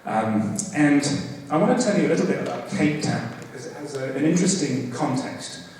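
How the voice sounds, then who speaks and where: A man hosting a university talk, giving background with many fillers and restarts, with a loud voice. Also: medium pitch, lively intonation, fairly quick, a little hiss or hum. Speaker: host introducing a guest lecture. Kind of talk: academic lecture. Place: large hall. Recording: echoey hall from far off.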